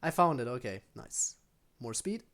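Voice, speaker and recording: A man's voice: mid-range voice, very animated, normal speed, gravelly, a bit bright. A man streaming a video game, giving casual live commentary and thinking aloud as he plays. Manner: thinking aloud while playing.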